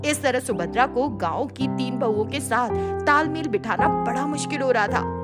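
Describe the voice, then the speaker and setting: A woman reading a scripted bedtime story as an expressive storyteller. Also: high voice, normal speed. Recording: music behind the voice. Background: background music.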